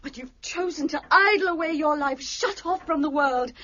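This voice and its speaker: A woman's voice: high voice, moderate pace, bright, forceful tone, highs cut off. An actress playing an exasperated, scornful sister scolding her brother in a period mystery drama.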